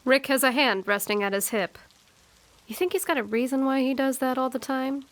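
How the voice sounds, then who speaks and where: A woman's voice: sits high, normal speed, a bit bright. A woman narrating an audio drama, scripted storytelling broken by informal asides.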